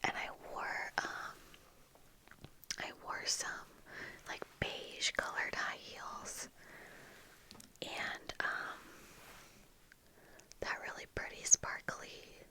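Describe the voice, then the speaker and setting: Young female ASMR creator, breathy close-mic whisper, leisurely and casual, with pauses. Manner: slow, soft-spoken, pausing while applying makeup. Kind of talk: whispered ASMR get-ready-with-me chat. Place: small indoor room with bookshelves. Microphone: close fluffy-covered desk microphone.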